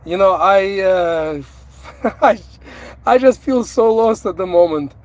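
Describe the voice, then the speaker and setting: A middle-aged man from Ukraine, a native Russian speaker with a Russian accent, chatting casually on a live stream in slow, halting speech full of fillers and restarts, recorded on a phone in a vehicle. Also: high-pitched. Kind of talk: casual live-stream chat, reading out viewer comments. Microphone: phone without an external microphone.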